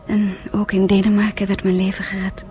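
A woman's voice: mid-range voice, monotone, moderate pace, lo-fi sound, natural voice. A woman playing an earnest teenage girl. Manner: earnest, hesitant, stumbling over words. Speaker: radio drama actress. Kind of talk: radio play narration and acting.

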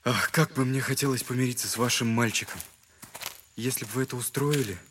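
A man's voice: mid-range voice, brisk, a bit bright, far from the mic, slight background noise, lightly acted. An actor playing an earnest, kindly young man in an audio drama, speaking sincerely and insistently.

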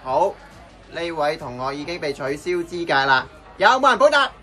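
A man plays a brash, tipsy young man in a radio drama, speaking Hong Kong Cantonese. His voice is bright and very animated, loud and theatrical as he rambles, with music behind. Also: fairly high pitch, normal speed. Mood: tipsy, boisterous. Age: young adult.

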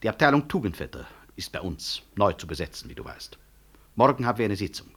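An upright, unyielding medical professor in a 1960s radio drama. His low, husky voice is animated yet measured. He speaks with dry, pointed irony. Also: full character voice. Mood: firm, pointed. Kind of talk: 1960s German radio play acting.

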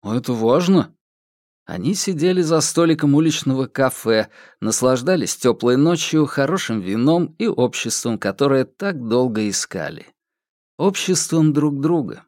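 A man's voice: medium pitch, very animated, normal speed, a little mellow, dry recording, studio quality. A man reading fiction as a scripted audiobook narration.

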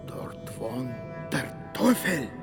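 A woman playing a hesitant interpreter who relays an eerie warning, hushed and halting, in a radio drama. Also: extremely low pitch, big pitch swings, exaggerated voice. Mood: fearful. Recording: long reverb, music behind.

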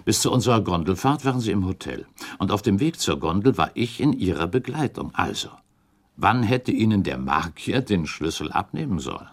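A shrewd gentleman inquisitor in a period radio drama. His voice is low and gravelly, swinging expressively as he builds his case in formal, deliberate phrases. He stays composed and relentless. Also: sing-song, exaggerated voice. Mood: shrewd, insistent. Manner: formal, deliberate, insistent questioning. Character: probing gentleman accuser, shrewd, composed, relentless cross-examiner. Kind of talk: German radio play acting, formal period dialogue.

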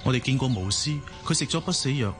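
A man's voice: mid-range voice, expressive, fast talker, natural voice. An actor with a Hong Kong Cantonese accent playing a cautious, devoted man in love in a radio drama. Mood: tender, reflective. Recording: music behind the voice.

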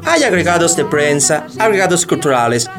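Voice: medium pitch, very animated, moderate pace, music in the background, lightly acted. An actor playing a pompous, demanding American boss in a satirical radio play, with English interjections. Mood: ingratiating.

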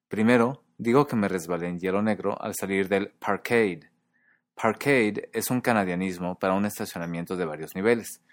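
Male podcast host, very low pitch, dry studio sound, measured explanatory read. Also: dry recording. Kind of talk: scripted podcast narration.

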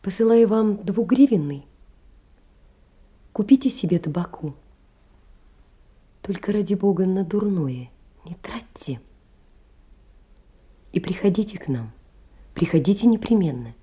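A gentle, caring young woman of little means in a radio-style drama. The tone is very mellow and breathy, at a medium pitch, consoling and tender. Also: lively intonation, a little slow, pauses often. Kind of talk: theatrical audio drama letter reading.

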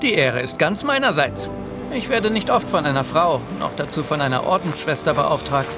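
A polite, eager police inspector in an audio drama, played by a man, with a fairly high male voice. He is courteous and inquisitive, and music runs clearly behind him. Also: animated, moderate pace. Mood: courteous, interested. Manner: courteous, questioning. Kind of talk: interactive audio drama dialogue.

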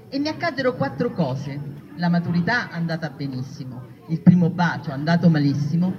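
A woman giving a dramatic first-person reading of a written testimony, telling it as a story. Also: lowish voice. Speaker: reader of partisan testimonies. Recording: distant, dull muffled sound.

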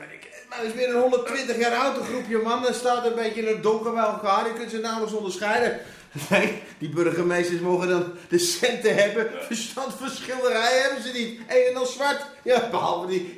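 A mocking workman in a radio play. His voice sits high and rings in an echoey space as he grumbles and exclaims. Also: fairly quick. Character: grumbling, joking male workman hanging a huge painting. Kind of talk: Dutch radio drama acting.

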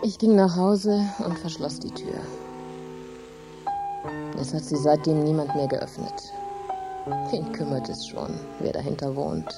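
A woman's voice: sits low, steady tone, a little slow, pauses often, over loud music. An actress playing a grief-stricken, embittered poor farm widow, telling her story intensely and dramatically in a radio play. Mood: vengeful. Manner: intense, dramatic, emphatic storytelling with hushed drops. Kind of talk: German radio drama monologue.

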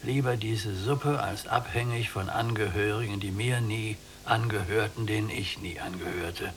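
An actor playing a weary, bitter old prisoner in a German radio drama, speaking in a gravelly, resigned and declamatory way. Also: male voice, fairly low pitch, moderate pace, a bit bright, slight background noise.

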